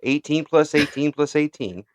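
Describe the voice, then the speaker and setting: A man's voice: on the high side, normal speed, a little mellow, no echo. A middle-aged man hosting a casual interview, joking and self-mocking with frequent laughs. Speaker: interview host.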